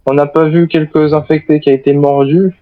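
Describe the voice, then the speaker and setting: A man playing a stranded American soldier, the lone survivor, speaking haltingly and apologetically in an improvised radio roleplay for a game. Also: on the high side, level tone, no echo, phone-quality sound, close to an everyday voice. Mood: hesitant, regretful. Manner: hesitant, halting, repeats words.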